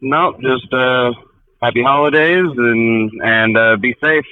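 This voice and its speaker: A man's voice: mid-range voice, slow pace, smooth, dry recording, narrow, tinny audio. A man, a truck driver guest, chatting briefly and casually over a radio-like remote line.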